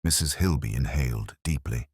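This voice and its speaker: Very deep male mystery narrator. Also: moderate pace, darkish tone, breathy edge, no room sound, studio quality. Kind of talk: scripted story narration of a village mystery.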